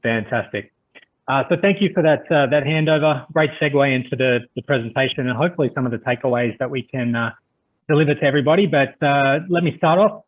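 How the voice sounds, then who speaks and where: A man's voice: mid-range voice, fast. A man with an Australian accent giving a friendly, chatty webinar presentation over a video call, with frequent "uh" fillers. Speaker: conference presenter. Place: home office on a video call. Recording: tinny phone-like video call audio.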